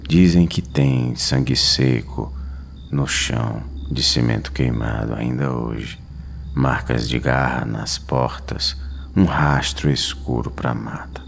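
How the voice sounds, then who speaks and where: A man's voice: a bass voice, vocal fry, slight background noise. A Brazilian man telling a scripted horror story, solemn and suspenseful, in short weighted phrases. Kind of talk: dramatic scripted horror narration. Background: music.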